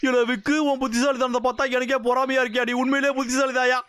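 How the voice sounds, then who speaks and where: A man's voice: very high-pitched, little pitch movement, rapid-fire, a bit bright, soft background music. A man voicing a cocky, scheming little villain in a cartoon dub, gloating and blustering. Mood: self-satisfied.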